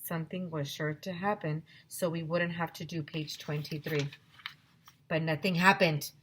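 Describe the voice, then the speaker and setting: A woman teacher reading a children's chapter book aloud in an expressive storytelling style. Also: sits low, moderate pace, a little hiss or hum.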